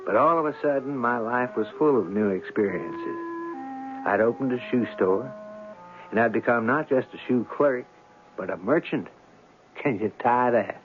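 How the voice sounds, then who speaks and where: A man's voice: medium pitch, expressive, moderate pace, natural voice. An actor playing a breezy, self-amused man who narrates his own story in a chatty, wry way in a radio drama. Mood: wry, pleased. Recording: dull vintage radio, music behind.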